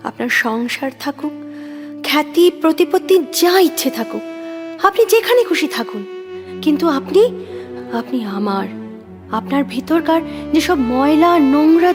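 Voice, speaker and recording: A moody, ardent younger sister in a Bengali audio drama. Her voice is very high, and she speaks with halting fervour, repeating words as if possessed by devotion. Faint music sits behind her. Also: animated. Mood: impassioned, possessive. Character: intense moody younger sister, ardent reader infatuated with a poet.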